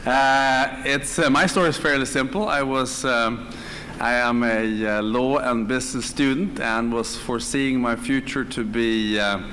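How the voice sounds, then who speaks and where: A man from northern Sweden with a Swedish accent, telling his story on a conference panel in a hesitant, pausing way. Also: medium pitch, steady tone, bright, forceful tone, in a large hall. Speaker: conference panelist, direct selling executive. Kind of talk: unscripted personal storytelling on a panel. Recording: live conference room, clear background noise.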